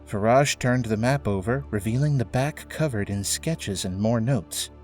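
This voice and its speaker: A man's voice: medium pitch, a little slow, a little mellow. A man reading scripted narration for an audio drama, in a storytelling style. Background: faint music.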